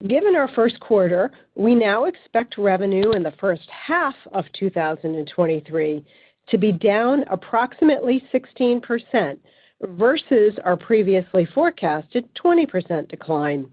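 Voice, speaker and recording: A woman's voice: medium pitch, sing-song, moderate pace. A woman speaking as chief financial officer, reading a scripted financial report in a formal, businesslike manner. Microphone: phone conference line. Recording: tinny, narrow phone conference line.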